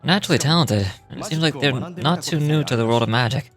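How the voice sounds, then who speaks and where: A man's voice: mid-range voice, fast talker, music in the background, natural voice. A man voicing a kindly, modest stage magician from a game, reading his diary in a reflective way and occasionally stumbling over words. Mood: earnest, fond.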